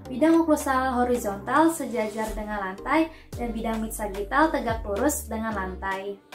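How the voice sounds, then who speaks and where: A young woman narrates a scripted instructional demonstration, explaining each step politely and carefully. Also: a little high. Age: young adult. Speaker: dental student demonstrating a procedure. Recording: echoey room with music behind.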